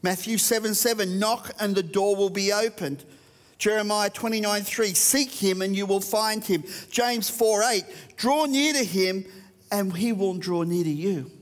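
A man's voice: high-pitched, measured pace, in a large hall. An Australian man preaching a sermon, reading verses and talking conversationally to a church. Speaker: pastor.

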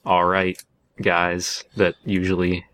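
A man hosting a casual podcast in a halting, self-deprecating way. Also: deep voice, completely flat delivery, a bit bright. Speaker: podcast host.

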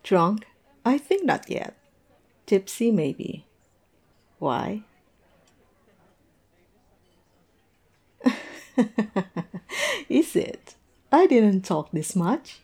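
A tipsy, observant female coworker acted by a woman muses and teases over drinks in an audio roleplay. Her voice is dark and mellow, with plenty of gravelly fry. She takes her time, speaking in a halting, unhurried way. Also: mid-range voice, sing-song, exaggerated voice. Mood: tipsy, playful. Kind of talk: intimate audio roleplay, conversational monologue.

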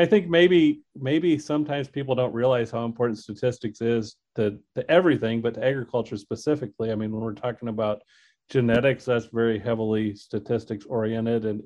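A man's voice: mid-range voice, a little mellow. A man co-hosting an agriculture podcast, speaking in a friendly, conversational way. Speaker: podcast co-host. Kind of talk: casual podcast chat.

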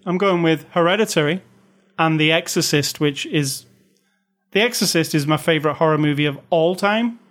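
A man with a slightly high voice talks films in a loose, chatty way. He is opinionated and often restarts his sentences as he thinks aloud. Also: normal speed, fairly bright tone. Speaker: podcast co-host. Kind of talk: casual film review chat.

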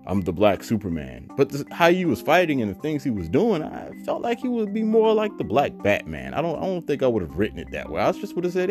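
A man vlogging, telling a rambling personal story full of asides and fillers in a voice he calls bassy. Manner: animated, digressive, many fillers and asides; self-described bassy voice.

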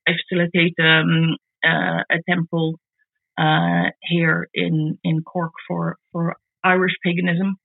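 A Dutch-accented woman in her forties with a gravelly, husky voice. She talks in a halting way as an interview guest, restarting and repeating words. The sound is dry and thin, like a phone line. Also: fairly low pitch, flat delivery, dry recording. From Netherlands. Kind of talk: casual conversational interview. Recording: tinny phone-like call audio.

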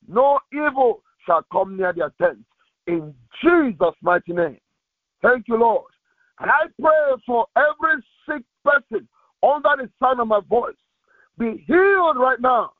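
A man's voice: very high voice, no room sound. A man preaching and leading fervent prayer as a pastor, insistent and repetitive, delivering it phrase by phrase. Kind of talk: fervent Pentecostal prayer sermon with exhortation. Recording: thin, phone-like radio sound.

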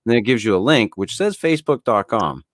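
A man's voice: mid-range voice, animated, fast talker, no echo, clean studio sound. A man co-hosting a podcast in loose, laid-back chat, swearing freely and filling gaps with "uh". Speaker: podcast co-host.

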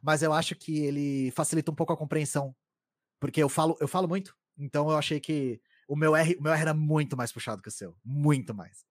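A young man who grew up around São Paulo rattles along in quick, talkative bursts, his voice sitting a little high for a man. The sound is close and dead dry, with no room at all. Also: dry recording. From Greater São Paulo, Brazil. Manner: talkative, fast, animated storytelling. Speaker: online content creator and streamer. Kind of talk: casual conversation.

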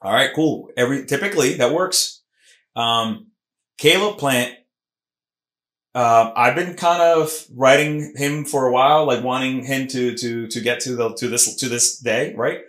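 A man's voice: medium pitch, a little slow. Guy hosting a fight podcast, keeps restarting lines, roomy sounding mic. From Puerto Rican heritage. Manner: animated, emphatic, lots of restarts. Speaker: podcast host. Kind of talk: casual combat sports podcast chat. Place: Philadelphia podcast studio room. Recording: roomy echo.

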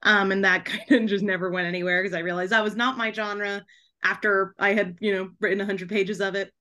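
A woman chatting casually as an interview guest, an author who tells stories in an animated, giggly way with lots of "like". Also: mid-range voice, a little fast.

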